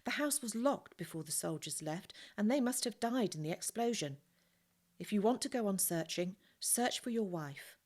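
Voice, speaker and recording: A woman reading aloud, voicing a caring older woman who gently urges a grieving man. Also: mid-range voice, lively intonation, normal speed, voice pushed up, lightly acted. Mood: urging kindly.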